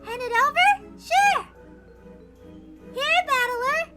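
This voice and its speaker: Eerie small girl: piping high voice, calmly unsettling and smug, unhurried. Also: music in the background, exaggerated voice. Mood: eerily cheerful.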